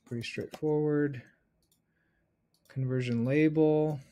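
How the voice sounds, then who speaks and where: A man's voice: a little high, fairly even intonation, takes it slowly, warm tone. Man giving a casual step-by-step tutorial. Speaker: instructor. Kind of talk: casual screen-share software tutorial.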